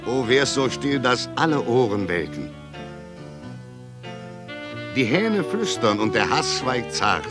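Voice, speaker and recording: A cabaret reciter in a radio drama, declaiming verse with theatrical flair. His pitch sits in the middle but swings widely. Guitar music plays clearly behind him. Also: very animated, slow pace, long pauses. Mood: wry, theatrical. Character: cabaret performer reciting a satirical poem about shouting. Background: plucked guitar music.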